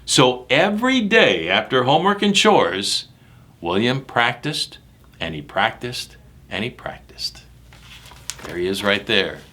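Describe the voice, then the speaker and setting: A man's voice: medium pitch, big pitch swings, unhurried, pushed and bright. A man reading a picture book aloud to children in a dramatic, emphatic way, speaking directly to his young listeners. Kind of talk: animated read-aloud with asides about the pictures.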